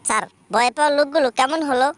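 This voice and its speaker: A woman's voice: sits high, quick pace, no echo, natural voice. A woman voicing a cheeky schoolchild in a comedy cartoon, answering with matter-of-fact confidence. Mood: confident, storytelling.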